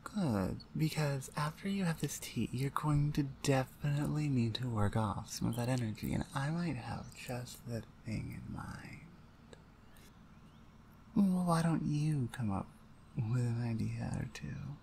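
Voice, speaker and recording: A woman acting as a dominant female orc shaman in an ASMR roleplay, with a low voice. She is stern and deliberate, giving orders with a taunting, suggestive edge, over audible background noise. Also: gravelly, a little mellow, heavily acted. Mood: teasing, suggestive.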